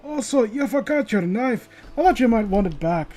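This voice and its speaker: A man voicing an apologetic young male killer from a horror story, in a halting read. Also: very high voice, expressive, dark tone, voice pushed up. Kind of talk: horror story character reading.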